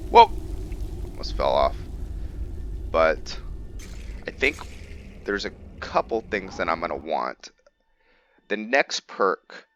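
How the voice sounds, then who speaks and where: Low-voiced man with a bright, forceful edge. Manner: thinking aloud, halting, trailing off mid-sentence. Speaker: gaming video host. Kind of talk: casual roleplay game commentary.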